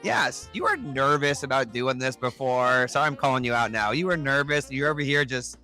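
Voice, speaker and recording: A man with a bright, forward voice and mid-range pitch hosts a casual podcast. He banters playfully and effusively, often joking with the people he talks to. Music plays faintly behind him. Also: lively intonation, moderate pace. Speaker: podcast host.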